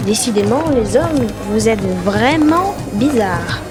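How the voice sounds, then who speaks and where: A woman plays a naive young traveller in a comic audio adventure. Her voice is very high, innocent and questioning with a gentle tease, set over music. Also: lively intonation, slow pace. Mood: curious, naive. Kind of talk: comic audio drama acting. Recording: music behind.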